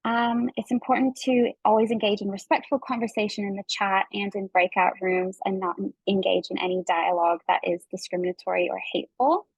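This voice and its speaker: A woman's voice: medium pitch, flat delivery, brisk, creaky, hardly any pauses, husky, dry recording, poor audio. A woman with a Canadian accent hosting an online workshop over a video call, talking in a friendly, informal way. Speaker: workshop host and facilitator. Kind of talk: presenting an online workshop to participants.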